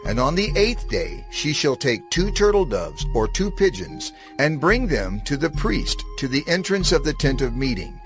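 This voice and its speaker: A man narrating scripture from a script in a measured, formal manner. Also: fairly high pitch, sing-song, fairly bright tone. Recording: prominent music bed under narration. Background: music.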